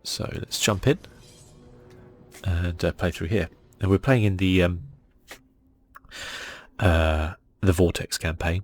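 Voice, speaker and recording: A soft-spoken man hosting a relaxed ASMR game playthrough, casual and a little hesitant in his delivery. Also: sits low, vocal fry, a little hiss or hum. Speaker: gaming channel host.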